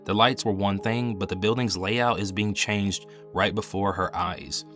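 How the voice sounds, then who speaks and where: A man's voice: fairly low pitch, fairly even intonation, a little slow, over music. A man delivering scripted analytical narration as the host of a horror review video.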